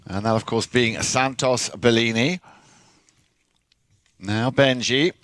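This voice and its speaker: Man, polo play-by-play, measured delivery, dry close sound. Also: medium pitch, no room sound. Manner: steady, measured, with frequent uh fillers. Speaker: polo commentator. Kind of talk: sports play-by-play commentary.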